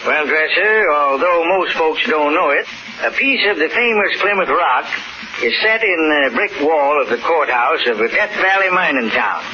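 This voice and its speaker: A man's voice: fairly high pitch, animated, moderate pace, sharp tone. A man playing a folksy, grave old Western storyteller, narrating an old time radio drama. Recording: muffled, noisy old radio recording.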